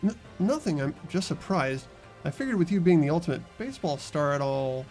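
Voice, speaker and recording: A man's voice: a little high, big pitch swings, a little fast, darkish tone. A man voicing a bewildered, earnest teenage boy student in a game, hesitant and puzzled, with music behind. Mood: surprised.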